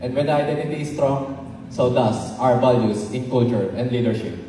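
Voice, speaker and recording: A man's voice: medium pitch, normal speed. A man with a Filipino accent giving a rehearsed pageant speech as a contestant. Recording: echoey distant hall, clear background noise.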